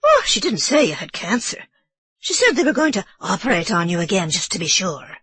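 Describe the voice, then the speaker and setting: A woman's voice: mid-range voice, big pitch swings, brisk, fairly bright tone, slightly rough, heavily acted. A woman voicing an anxious elderly housekeeper woman with an Irish brogue, apologetic and deferential. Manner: apologetic, deferential, sir-ing constantly.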